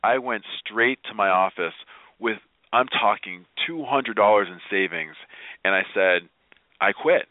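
A man's voice: on the low side, a little slow, no echo. A man speaking as an interview guest, telling personal stories in a candid, emphatic way with easy chuckles. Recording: thin, phone-like radio interview line.

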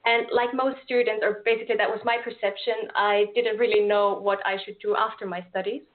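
A woman's voice: medium pitch, steady tone, fairly quick. Austrian-accented young woman on a phone-like call. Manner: friendly, earnest, with some fillers and restarts. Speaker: guest, event organizer. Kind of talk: casual interview over a call.

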